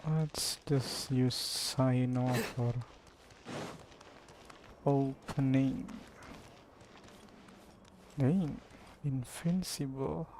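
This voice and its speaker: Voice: medium pitch, fairly even intonation, extremely slow, long pauses, slight background noise. A gaming streamer giving casual commentary, muttering short fragmented remarks while playing an action RPG. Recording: loud game music behind. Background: loud game music.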